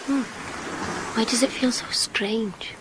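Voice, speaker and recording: A woman's voice: on the high side, slow pace, in a whisper, poor audio. An actress playing a curious, playful teenage village girl, acting naturalistically in a radio drama. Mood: curious, uneasy. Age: young adult. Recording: clear background noise.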